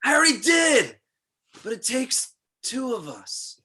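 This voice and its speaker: A man playing a brash, crude young slacker sidekick in an amateur script reading, loud and cocky. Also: very high-pitched, very animated, measured pace, breathy edge, full character voice. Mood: protesting.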